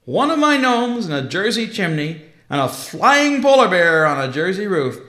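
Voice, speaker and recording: A man voicing Santa Claus, a jolly old man who talks in a hearty, drawn-out way and chuckles. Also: sits high, sing-song, fairly bright tone, roomy sound, voice pushed up. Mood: jovial.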